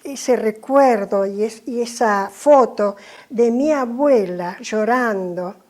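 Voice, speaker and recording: An older woman from Italy recalling her past in a soft, hesitant, emotional way. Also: on the high side, takes it slowly. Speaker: interviewee. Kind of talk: documentary testimony.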